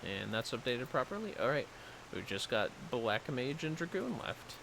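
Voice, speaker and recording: A man's voice: medium pitch, big pitch swings, moderate pace, gravelly, noisy background. A man streaming a game with casual commentary, half talking to himself and sounding a little tired. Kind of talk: casual livestream commentary while playing.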